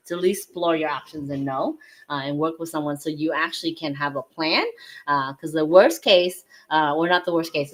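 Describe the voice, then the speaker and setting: A woman's voice: on the low side, a bit bright. A woman guest consultant explaining in a conversational way, often checking in with short tag questions. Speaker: insurance and financial consultant, guest.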